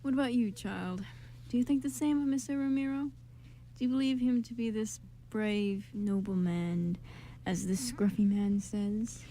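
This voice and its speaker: A woman's voice: medium pitch, moderate pace, warm tone, slight background noise, close to an everyday voice. A woman voicing a menacing, haughty young council man who questions people with condescension in an amateur radio drama. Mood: probing.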